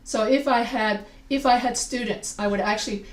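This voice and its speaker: A woman teaching a recorded grammar lesson. English is not her first language, and she explains slowly, often repeating and restarting phrases. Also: a little high, steady tone. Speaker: writing teacher. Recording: echoey room, a bit far from the mic.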